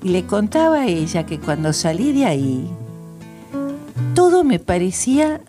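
Low-voiced woman reminiscing. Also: sing-song, a little slow, music in the background. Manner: reflective storytelling. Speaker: guest. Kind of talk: interview conversation.

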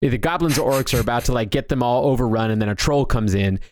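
A man's voice: medium pitch, fast talker, darkish tone. A man, chatting conversationally about films as a co-host. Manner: conversational, anecdotal. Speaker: talk show co-host. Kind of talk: casual film discussion.

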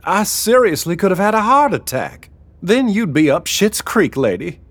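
A flustered, indignant fisherman, acted by a male narrator in an audiobook. He pleads his innocence in a raised, whiny voice that rambles and swings about in pitch. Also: big pitch swings, moderate pace, no echo.

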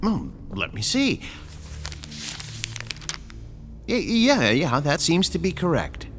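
An actor playing a courteous, faintly sinister landlord in an audio drama, formal, measured and evasive. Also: male voice, a little high, huge pitch swings, a bit bright, soft background music, heavily acted. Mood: politely formal. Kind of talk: modern audio drama acting.

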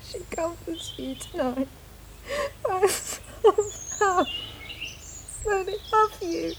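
A woman's voice: very high voice, lively intonation, slow pace, slight background noise, full character voice. An actress in an audio drama playing a distraught, unravelling woman, tearful and stammering in repeated fragments. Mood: anguished, tearful. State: tearful, breaking down. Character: distraught young woman, unravelling, confused and grieving. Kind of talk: emotional audio drama acting.

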